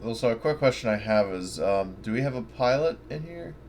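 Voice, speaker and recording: A man's voice: mid-range voice, expressive, brisk, darkish tone. A man chatting casually as a player in a tabletop roleplaying game, tentatively thinking aloud. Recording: clear background noise.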